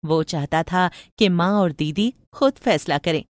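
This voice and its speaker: A woman's voice: fairly low pitch, unhurried, no echo, clean studio sound. A woman narrating a moral bedtime story in a scripted storytelling style.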